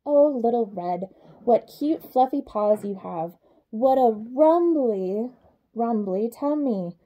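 Woman as a high-voiced, dismayed storybook girl. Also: expressive, takes it slowly, warm tone. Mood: puzzled.

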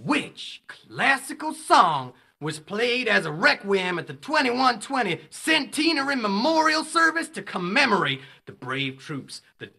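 An actor playing a slick, theatrical game show host in a dystopian audio drama. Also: male voice, high-pitched, creaky, pushed and bright, full character voice. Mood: suspenseful. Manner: theatrical, gushing announcer delivery.

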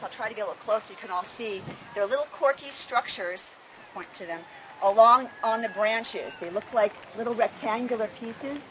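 A middle-aged woman gives an informal demonstration talk in an open grassy park. Her voice sits a little high. Outdoor ambient noise is clearly heard around her. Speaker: plant educator leading a walking tour.

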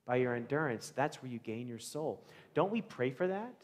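American pastor preaching in a reverberant hall. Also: male voice, medium pitch. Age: middle-aged. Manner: animated storytelling with rhetorical questions and dramatic pauses. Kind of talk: sermon, conversational preaching to a congregation. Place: large church sanctuary, indoors, before a congregation.

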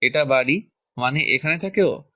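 A man's voice: fairly high pitch, moderate pace, pushed and bright, dry recording, clean studio sound. A man playing an irritable, bossy young man who scolds curtly in a romantic audio story, dropping in English words. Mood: annoyed, scolding. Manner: scolding, curt, mixes English words into speech. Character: irritable, bossy young man, impatient older brother type.

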